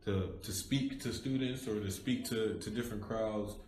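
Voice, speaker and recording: A man's voice: fairly low pitch, normal speed, darkish tone, slight background noise. Laid-back male host, echoey room. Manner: laid-back, affirming with frequent mm-hmms. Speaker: interview host. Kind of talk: casual interview talk.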